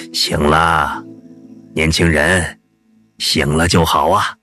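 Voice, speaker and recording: A hearty old village hunter and healer, acted by a male narrator, very low in pitch. He talks unhurriedly and kindly over soft music, drawing out his words to reassure, as a character in an audiobook. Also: level tone, takes it slowly, exaggerated voice.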